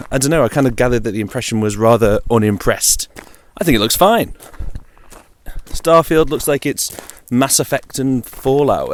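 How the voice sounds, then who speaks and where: A man with a British accent chatting informally on his own about games, in a dry, wry, jokey manner. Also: on the low side. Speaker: video game vlogger.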